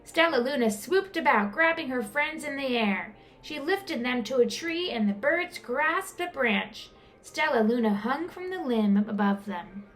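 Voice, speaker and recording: High-voiced, animated woman reading a storybook. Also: unhurried, soft background music. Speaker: storyteller, teacher. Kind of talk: expressive children's picture-book reading.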